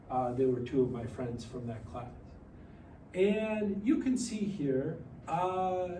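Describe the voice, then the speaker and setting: A man in his forties from Hawaii, giving a slow, careful self-introduction over slides and pausing often as he explains. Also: a little high, darkish tone, slight background noise. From Hawaii, raised partly in New Jersey. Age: 40s. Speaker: presenter introducing himself to a class. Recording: echoey room, voice a bit far from the mic, faint music.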